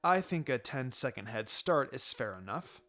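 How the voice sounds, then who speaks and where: A man voicing a calm, dry adult ninja teacher in a story reading, with a low-key, gently chiding manner. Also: moderate pace. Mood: dryly teasing.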